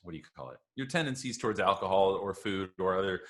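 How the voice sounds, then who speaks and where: A man's voice: low voice, lively intonation, fast, fairly bright tone. A man, a health coach and guest, talking enthusiastically and emphatically, often checking in with "right?" and calling his host "man". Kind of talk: conversational interview.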